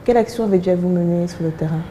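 A woman's voice: on the low side, racing, warm tone, a little hiss or hum, poor audio. A young woman hosting a TV talk show, introducing and questioning a guest. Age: young adult. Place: TV studio.

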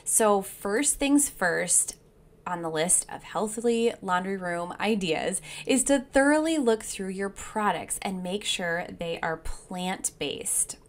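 Woman doing a scripted voice-over on healthy home stuff, steady and informative, mid-pitched voice. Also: slow. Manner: steady, informative, recommends products she loves. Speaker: home wellness video host. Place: not seen, voice-over over slides.

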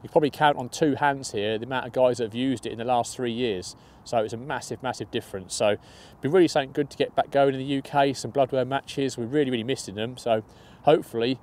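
A man's voice: mid-range voice, a little mellow. A young man casually talking through his fishing bait outdoors by a lake, upbeat and chatty, restarting phrases now and then. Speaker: match angler. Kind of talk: fishing tutorial.

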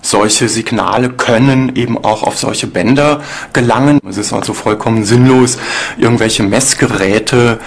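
A man's voice: mid-range voice, normal speed, gravelly, a little hiss or hum. A man giving an explanatory interview as a sceptical expert.

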